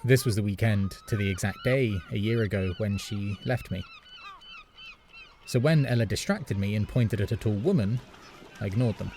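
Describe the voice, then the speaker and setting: An actor playing a lonely, wistful man who narrates in the first person with a reflective, measured manner. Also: on the low side, expressive, darkish tone, slight background noise. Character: melancholy adult brother narrating, lonely and wistful.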